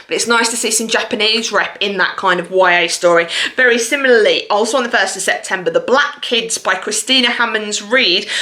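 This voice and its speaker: A woman's voice: a little high, pushed and bright. A woman hosting a chatty book vlog, talking straight to her viewers in an enthusiastic, gushing way. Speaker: book reviewer and video host.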